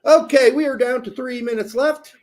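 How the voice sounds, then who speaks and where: A man co-hosting a casual live match watch-along, mixing crude jokes with excited play-by-play calls. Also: extremely high pitch, moderate pace.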